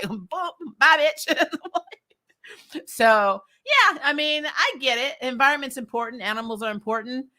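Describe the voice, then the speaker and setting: A woman's voice: on the high side, sharp tone. A woman giving a casual, opinionated recap to livestream viewers, speaking bluntly with swearing and often laughing as she talks. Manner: laughs often while talking. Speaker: host of a comics TV recap show.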